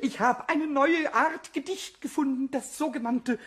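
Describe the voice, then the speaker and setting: A man playing a vain, cheeky, childlike little kobold with a squeaky, raspy voice in a German children's audio play. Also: unhurried, fairly bright tone. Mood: gleefully boastful. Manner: boastful, sing-song reciting, exclaiming.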